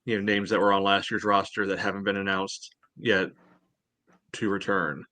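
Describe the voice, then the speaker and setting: A man co-hosting a sports podcast, discussing and analysing in a casual, measured way. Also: low voice, level tone, pushed and bright, no echo. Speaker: podcast co-host.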